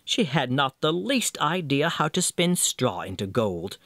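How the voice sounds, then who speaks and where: A man reading a fairy tale as a scripted narration, with a theatrical, expressive delivery. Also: on the high side, unhurried, dry recording. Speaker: storyteller.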